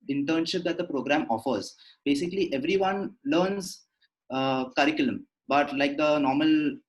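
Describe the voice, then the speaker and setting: Young indian guy talking fast, bit high voice, polite, lots of "like". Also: low-quality recording. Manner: polite, says "sir", fillers like "like". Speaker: student interviewee. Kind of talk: casual interview answers.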